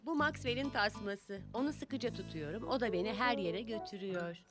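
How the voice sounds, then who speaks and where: A woman playing a kind, patient grown-up in a preschool cartoon dub, explaining things simply and gently to a child. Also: mid-range voice, normal speed, lightly acted. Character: kind, patient blind woman with guide dog. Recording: music under the dialogue.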